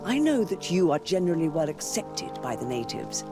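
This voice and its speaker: A stately church matriarch speaks in a low female register with lively swings of pitch. She is formal, pious and persuasive, a solemn game character with music clearly behind her. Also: normal speed. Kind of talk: scripted video game dialogue.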